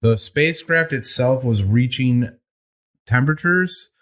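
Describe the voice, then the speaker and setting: A man's voice: mid-range voice, measured pace, a bit bright. A man co-hosting a podcast, recapping a news article in a laid-back, casual way, with some swearing and frequent laughter. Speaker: podcast co-host. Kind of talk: casual chat, reading news.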